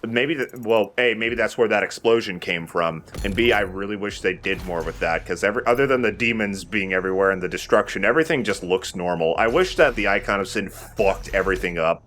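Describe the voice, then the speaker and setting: A man's voice: lowish voice, a little fast, few pauses, fairly bright tone, slight background noise. A man giving casual commentary as he plays a shooter game, as host of a let's play.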